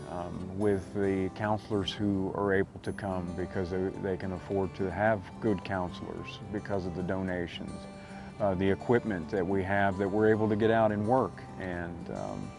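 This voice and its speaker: A man who graduated from a recovery program gives a halting testimony, with frequent pauses and fillers. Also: low voice, gravelly. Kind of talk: testimonial interview.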